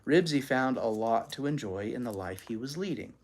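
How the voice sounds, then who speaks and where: A children's book host with a very lively, swinging pitch reads aloud in a folksy, animated manner. The delivery moves easily between storytelling and plain, chatty explanation for young listeners. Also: moderate pace.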